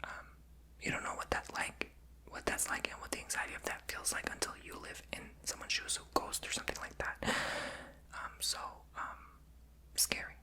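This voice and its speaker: A speaker whispering throughout in a breathy voice, telling personal stories in a rambling, candid, chatty way for ASMR. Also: moderate pace, sharp tone, low-quality recording. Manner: rambling, chatty, many fillers; confessional and candid.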